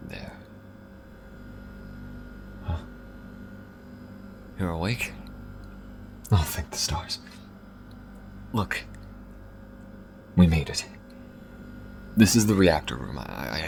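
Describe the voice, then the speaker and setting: A man playing a gruff, devoted alien smuggler in an intimate audio roleplay, speaking haltingly, tenderly and often hushed, with a deep gravelly voice. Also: lively intonation, background noise, exaggerated voice. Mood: relieved, determined.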